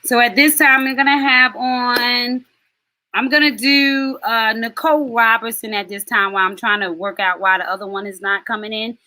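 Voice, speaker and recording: A middle-aged woman hosting a live online stream, chatty and affectionate, with quick asides. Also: on the high side, steady tone, sharp tone. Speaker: host and soul mentor.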